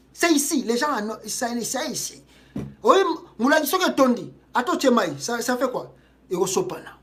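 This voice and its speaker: A woman's voice: a little high, a bit bright. Indignant Congolese woman commentator. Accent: Congolese French. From Congo (DRC). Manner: indignant, rhetorical questions, switching into Lingala. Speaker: political commentator. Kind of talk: impassioned political video commentary.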